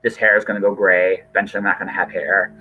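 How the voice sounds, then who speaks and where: Low-voiced man rambling on a phone-like line. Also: flat delivery, quick pace, faint music behind. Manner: rambling, repeats words, earnest. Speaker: podcast host. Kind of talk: casual conversational interview.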